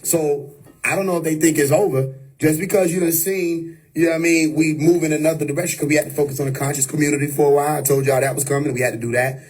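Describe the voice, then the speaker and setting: Man, husky voice, preachy streamer monologue, emphatic. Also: on the high side, steady tone, quick pace, a little mellow. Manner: preachy, emphatic, repeats "you know what I mean". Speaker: livestream host. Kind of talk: unscripted livestream monologue. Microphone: phone or webcam on a live stream.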